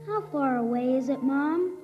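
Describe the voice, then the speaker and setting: A woman's voice: high-pitched, moderate pace, close to an everyday voice. A woman playing a curious, dreamy little boy in a 1950s radio drama. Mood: wondering. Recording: dull, reverberant radio recording, music behind.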